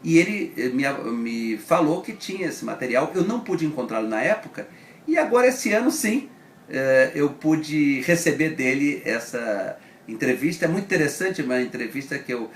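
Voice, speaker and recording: A man's voice: on the high side, big pitch swings, moderate pace. Older brazilian guy telling his journalist memories, echoey room, bit of background noise. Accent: Brazilian Portuguese. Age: middle-aged or older. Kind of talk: casual interview recollection.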